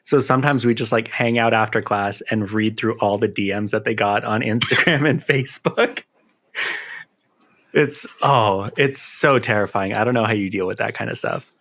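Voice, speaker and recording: A man's voice: fairly low pitch, moderate pace, fairly bright tone. A man co-hosting a podcast, talking in a casual, conversational style. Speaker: podcast co-host and editor. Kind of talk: casual chat.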